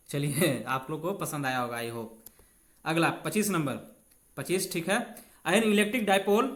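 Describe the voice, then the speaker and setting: High voiced guy w/ doing an exam prep lesson, chatty with students, repeats stuff, drops in english terms. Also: a bit bright. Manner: informal, addresses students as friends and brothers, repeats key phrases; mixes English technical terms into Hindi. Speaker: physics teacher. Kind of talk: exam-prep lesson, reading questions and explaining them step by step.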